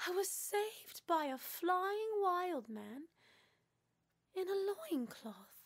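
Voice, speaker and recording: A woman voicing an excitable, flustered young adventurous daughter, telling things dramatically in an animated-film style. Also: extremely high pitch, extremely slow, voice pushed up, heavily acted. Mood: breathless amazement.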